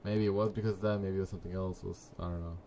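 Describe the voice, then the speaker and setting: A man in his early twenties hosting a live trading stream, chatting casually with viewers in a laid-back, slangy way and letting his sentences trail off quietly. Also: deep voice, fairly even intonation, very fast, a little hiss or hum. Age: 20s. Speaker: livestream trading host. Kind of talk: casual live-stream chat reading comments.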